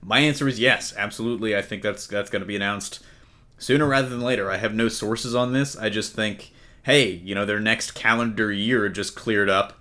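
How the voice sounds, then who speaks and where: Male podcast host, lowish pitch, casual and jokey panel chat, unafraid to swear. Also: steady tone, moderate pace, bright, forceful tone. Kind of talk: casual comic-book movie panel chat.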